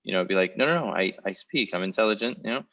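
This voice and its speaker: A very deep-voiced man hosts an interview podcast. He asks long, rambling, reflective questions. The sound is dull and lo-fi. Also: lively intonation, rapid-fire, fairly bright tone. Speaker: podcast host. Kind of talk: casual interview talk.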